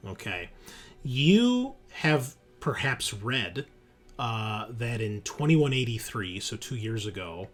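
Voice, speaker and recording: A man narrating a tabletop roleplaying game as game master, in an informal, halting way. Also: mid-range voice, very animated. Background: music.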